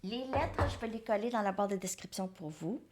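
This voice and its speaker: A woman's voice: mid-range voice, normal speed. A woman giving a casual tarot reading, rambling and hesitant, sometimes dropping to near-whispers. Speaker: tarot card reader.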